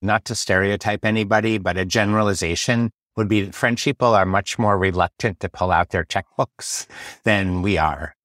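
A man's voice: on the low side, normal speed, a bit bright, no echo, studio quality. An American man hosting a podcast, chatting casually and telling anecdotes. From United States. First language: English. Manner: chatty, tells anecdotes with quoted voices. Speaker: podcast host. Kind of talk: casual interview chat.

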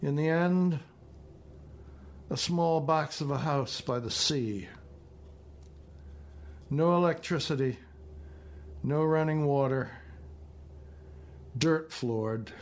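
Slow-paced elderly American man reading. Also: on the high side. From northeastern United States. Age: 70s. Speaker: poet reading own work. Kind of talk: literary poetry and prose reading.

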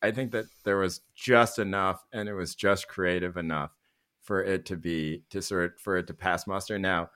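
A man's voice: sits low, fairly even intonation, normal speed, fairly bright tone, clean tone. A middle-aged man, born in Korea, chatting casually as a podcast host, opinionated and sweary, with a discursive storytelling way of talking. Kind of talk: casual podcast film chat.

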